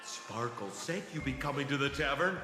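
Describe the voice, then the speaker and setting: A man's voice: mid-range voice, expressive, moderate pace, close to an everyday voice. A man voicing a flamboyant, smarmy, wheedling rogue merchant in a video game, effusive and theatrical. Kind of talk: video game character acting. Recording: echoey hall reverb, music behind. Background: music.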